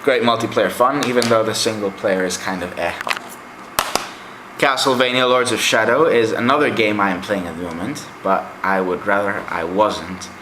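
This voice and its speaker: A man's voice: on the low side, fairly even intonation, normal speed, noisy background. A young man whose first language is Greek gives a casual, rambling review of his game collection, with many fillers. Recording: echoey room.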